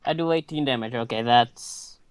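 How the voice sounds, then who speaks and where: A man's voice: mid-range voice, moderate pace, slight background noise. A man chatting as a player in a tabletop game, hesitant and casual. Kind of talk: casual gaming chat.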